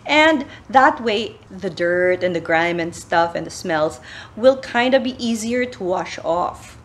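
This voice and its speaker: A woman's voice: medium pitch, takes it slowly, a little hiss or hum. A middle-aged woman with a Filipino accent, chatting casually to camera with sustainable laundry tips, slipping in Tagalog phrases, recorded in a small plain room. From Philippines. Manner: chatty, switches into Tagalog phrases, animated gestures. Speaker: sustainability vlogger.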